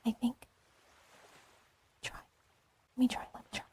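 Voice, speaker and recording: A young woman whispering softly as an ASMR streamer, chatting with her viewers and giggling now and then. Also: a little slow, heavy background noise. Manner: soft whisper, reads and answers chat, giggly. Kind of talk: whispered livestream chat with viewers.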